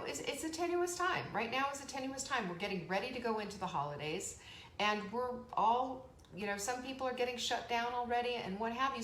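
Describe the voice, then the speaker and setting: Peppy woman hosting a live stream, lots of laughs, echoey room with a bit of hiss. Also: a little high. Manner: energetic, chatty, laughs often, reads viewer comments. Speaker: host of a live online show. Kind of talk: motivational live-stream talk. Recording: echoey room with faint background noise.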